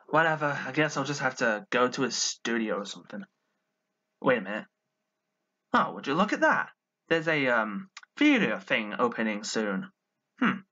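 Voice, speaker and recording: A man's voice: mid-range voice, bright, forceful tone, muffled recording, heavily acted. Man playing an exasperated, scheming young cartoon demon-summoner. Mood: brooding, frustrated, then plotting, resigned. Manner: exasperated, sighing, muttering to himself. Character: irritable, scheming male demon-summoner, sulky and sardonic. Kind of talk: amateur character voice lines, cartoon monologue.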